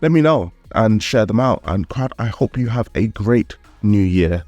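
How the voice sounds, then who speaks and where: A man's voice: lowish voice, expressive, moderate pace, darkish tone, dry recording, dull audio, faint music behind. A man narrating his calisthenics vlog in a candid, upbeat way, sounding a little nasal from a cold. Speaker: calisthenics vlogger.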